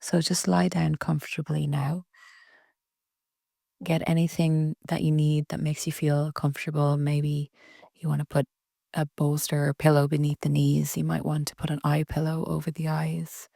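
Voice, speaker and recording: A woman leading a guided relaxation meditation in a soft, breathy, soothing way with long pauses. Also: sits low, little pitch movement, no room sound, clean studio sound. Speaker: yoga nidra meditation guide.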